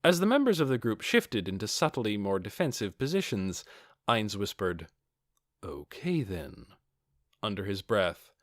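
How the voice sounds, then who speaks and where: A man's voice: on the low side, big pitch swings, normal speed, no echo, clean studio sound. A man reading scripted fantasy narration as an audiobook. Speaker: audiobook reader.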